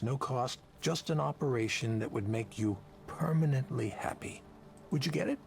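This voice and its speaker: An actor playing a jaded, whiskey-drinking tech visionary in a visual novel, speechifying persuasively in a ragged, creaky voice. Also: male voice, mid-range voice, a little hiss or hum. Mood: musing, persuasive. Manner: speechifying, persuasive, world-weary. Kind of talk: dramatic visual novel voice acting.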